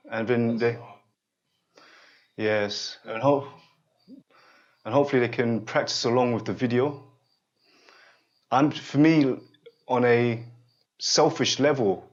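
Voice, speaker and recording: Halting man in his forties, dull lo-fi sound. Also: mid-range voice. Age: 40s. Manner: halting and repetitive, often pausing to check the listener follows. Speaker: holistic therapist guiding a student. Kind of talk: unscripted one-on-one guidance session.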